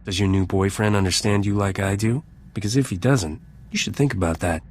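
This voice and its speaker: A man's voice: on the low side, brisk, darkish tone, natural voice. An actor playing a roguish, cynical male starship captain with a teasing, wry manner, in video game dialogue. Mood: flirtatious.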